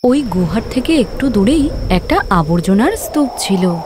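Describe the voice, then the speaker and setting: A woman's voice: mid-range voice, sing-song, unhurried, noisy background. A woman giving scripted narration of a moral story as the storyteller.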